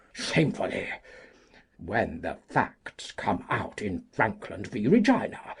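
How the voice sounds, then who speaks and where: A woman voicing a cantankerous, self-important old gentleman in an audiobook, with a husky, gravelly voice and pompous, emphatic delivery. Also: a bass voice, voice pushed down, exaggerated voice. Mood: indignant. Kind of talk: audiobook character reading.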